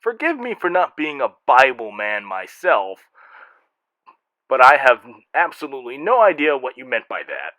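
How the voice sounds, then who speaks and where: A man's voice: medium pitch, huge pitch swings, normal speed, bright, forceful tone, no echo, highs cut off. A man hosting and narrating in a scripted comic style, reading fan mail with a wry, theatrical manner.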